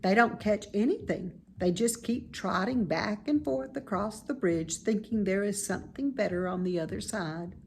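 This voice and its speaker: A woman playing a wise, calm, self-assured female spider in a children's storybook, speaking in a measured, instructive way. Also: medium pitch, vocal fry, a little hiss or hum, close to an everyday voice. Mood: contented, philosophical. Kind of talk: children's storybook read-aloud.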